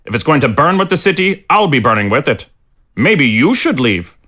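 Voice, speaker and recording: A man's voice: a little high, sing-song, normal speed, pushed and bright, heavily acted. A man playing a proud, stubborn, blustery innkeeper with colloquial speech in a fantasy audio drama. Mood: indignant. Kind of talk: scripted audio drama acting.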